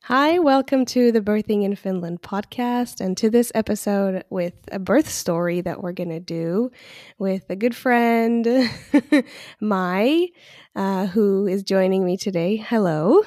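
A woman hosting a birth story podcast as a doula, friendly and quick to laugh, with many fillers. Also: medium pitch, a little mellow, dry recording, studio quality. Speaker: podcast host and postpartum doula. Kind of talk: podcast interview with scripted intro.

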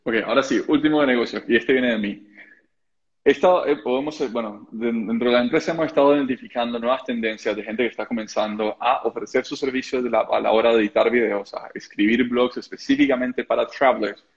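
A man's voice: mid-range voice, fast talker. A man hosting a live-stream interview, asking long rambling questions with frequent restarts. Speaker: host, interviewer. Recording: dull lo-fi audio.

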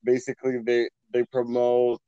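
A man's voice: mid-range voice, monotone, moderate pace, dark tone, clear, resonant tone, dry recording, muffled recording. A young man talking reflectively in casual conversation, searching for words with many "like" fillers. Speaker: podcast co-host.

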